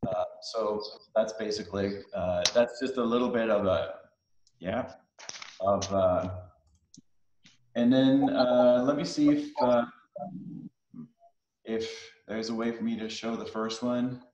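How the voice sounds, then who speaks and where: A man's voice: on the low side, darkish tone, highs cut off, slight background noise. Danish-born middle-aged man on a video call. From Denmark. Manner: casual, halting, many fillers and restarts. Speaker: guest speaker. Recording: roomy echo on a video call.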